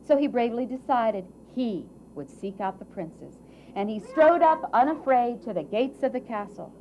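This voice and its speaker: A woman narrating a children's fairy tale at a live puppet show, with dramatic, drawn-out phrasing that swells and hushes for emphasis. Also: a little high, expressive. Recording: dull, noisy live event recording.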